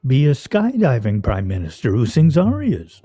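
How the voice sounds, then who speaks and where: An actor as a pompous, husky, theatrical man, very mellow. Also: mid-range voice, huge pitch swings, moderate pace. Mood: teasing. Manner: grandiose, mock-serious, ornate diction. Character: pompous, sardonic, theatrical man at a scrabble game. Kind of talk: radio play acting.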